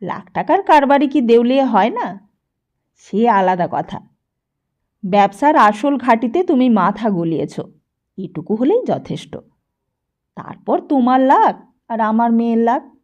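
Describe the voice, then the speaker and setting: A shrewd middle-aged businessman father in an audiobook story, voiced by a woman. He lectures in a measured, demanding way with a soft, dark tone. The recording is slightly dull. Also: on the high side, very animated. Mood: lecturing.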